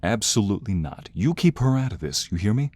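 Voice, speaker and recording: A man playing a protective, defiant mobster devoted to his lover, acted with earnest emotion and dramatic pauses in an audio roleplay. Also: fairly low pitch, big pitch swings, a little mellow, slightly rough. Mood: angry defiance. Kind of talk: audio roleplay romance acting.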